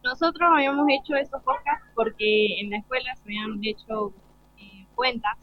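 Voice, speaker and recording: A woman's voice: on the high side, normal speed. A teenage girl with an Argentine accent, answering casually in an interview as a student. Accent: Argentine Spanish. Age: teenager, final school years. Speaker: student podcaster being interviewed. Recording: dull lo-fi live broadcast sound.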